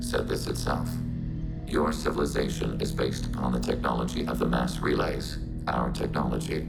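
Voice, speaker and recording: A man voicing a calm synthetic machine envoy in a game, speaking in formal, emotionless, precise phrases through robotic electronic processing. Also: rumbling low, creaky, full character voice, rough recording. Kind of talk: video game voice acting. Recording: music behind the voice.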